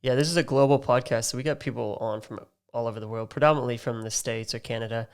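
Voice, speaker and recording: A man's voice: medium pitch, steady tone, fast, clear, resonant tone. An Australian man hosting a podcast, chatting casually with fillers and easy laughter. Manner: friendly, laughs easily, many fillers. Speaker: podcast host. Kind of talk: casual interview chat.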